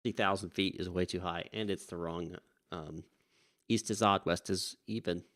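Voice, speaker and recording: A man's voice: low voice, fairly even intonation, normal speed, fairly bright tone. A man working as a virtual air traffic controller on a live stream. He chats casually and drifts off mid-thought, then switches to polite radio calls to pilots. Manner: chatty, easily distracted, wanders off mid-thought.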